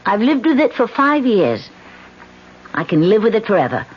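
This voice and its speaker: A woman's voice: mid-range voice, big pitch swings, normal speed, slight background noise, close to an everyday voice. An actress in a 1970s radio drama playing a guarded woman with a secret, tense and evasive. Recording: dull vintage radio broadcast.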